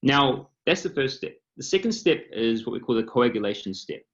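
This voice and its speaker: A man's voice: medium pitch, lively intonation, moderate pace, lo-fi sound. A man with a New Zealand accent, a company founder presenting on a video call panel, explaining steadily with frequent ums and uhs. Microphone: computer mic on a video call.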